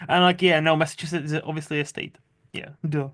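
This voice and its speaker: A man's voice: on the high side, flat delivery, a little fast, a bit bright. A man with a Czech accent mixed with Scottish tones, hosting a casual podcast interview and chatting in a rambling, laughing way. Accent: Czech with Scottish mix. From Czech Republic. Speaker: podcast host. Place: lives in Scotland.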